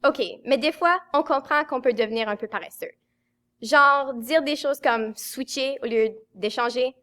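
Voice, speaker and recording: A woman's voice: sits high, measured pace, sharp tone. A young woman, making her points in a matter-of-fact way in a scripted sketch. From Manitoba, Canada. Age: young adult. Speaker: youth project member.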